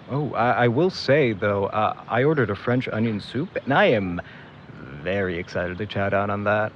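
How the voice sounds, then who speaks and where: A man plays a young big brother in an audio drama, guilty and defensive. His voice sits a little low and he rambles hesitantly. The sound is muffled, with clear background noise. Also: a little slow, close to an everyday voice. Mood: defensive, grumbling. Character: grumbling, guilty big brother dragged into a search. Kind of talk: audio drama monologue, naturalistic.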